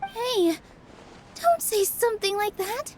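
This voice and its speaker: Young game heroine: high, sweet, gentle, earnest dancer. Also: female voice, animated, slow, slight background noise, exaggerated voice. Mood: mild reproach. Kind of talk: video game voice acting. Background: soft game music.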